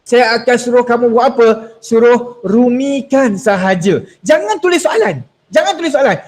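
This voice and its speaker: A man's voice: extremely high pitch, expressive, normal speed. A man leading an online exam-technique workshop over a video call, playful and teasing, slipping English words into his talk. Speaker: workshop speaker.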